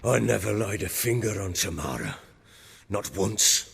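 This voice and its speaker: An actor playing a middle-aged lord in a video game, a proud, hard-drinking, volatile man with a rough voice and a coarse, brooding, theatrical manner. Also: fairly low pitch, lively intonation, a little slow, a bit bright, big-room sound, exaggerated voice. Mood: defensive. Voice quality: gravelly, rough.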